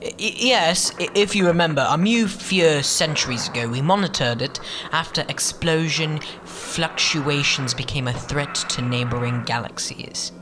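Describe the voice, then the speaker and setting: An actor playing an anxious, deferential advisor in a sci-fi audio drama, formal, with a hesitant stammering delivery. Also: male voice, on the high side, moderate pace, few pauses, noisy background, natural voice. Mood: uneasy briefing.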